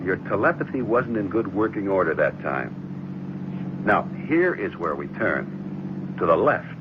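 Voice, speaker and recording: Low-voiced, animated, gentle father. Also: a little slow. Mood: amused, patient. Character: gentle, reassuring middle-aged adoptive father, faintly uneasy. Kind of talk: 1970s radio drama acting. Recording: muffled, noisy 1970s radio recording.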